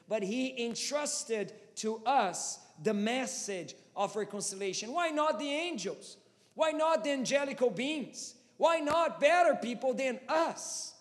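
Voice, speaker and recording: A man in his thirties with a Brazilian Portuguese accent delivers an impassioned sermon with a fairly high voice. His rhetorical questions climb as he presses his point. The sound rings with a long echo from a large hall. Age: 30s. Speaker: pastor.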